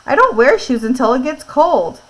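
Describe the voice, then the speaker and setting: A woman's voice: high-pitched, sing-song, normal speed, fairly bright tone, pitched above the performer's own voice, rough recording. A woman voicing a proud, defensive young homeschooled country boy in a storybook, answering in short, insistent, matter-of-fact lines. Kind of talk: storybook read-aloud dialogue.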